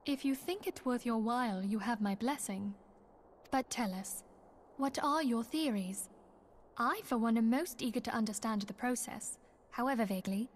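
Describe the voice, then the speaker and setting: A woman's voice: on the high side, normal speed, close to an everyday voice. An actress playing a dignified, gracious woman leader in a fantasy game, speaking politely and formally. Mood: gracious, curious. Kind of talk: scripted fantasy game dialogue.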